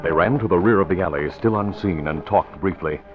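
A man's voice: low voice, fairly quick, music in the background. A man giving scripted narration for a radio drama in 1940s style. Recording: muffled old radio recording.

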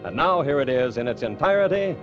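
A man's voice: mid-range voice, sing-song, a little fast. A man with an American accent reading scripted announcements in the style of a 1950s radio announcer. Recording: dull lo-fi vintage radio broadcast. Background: orchestral music.

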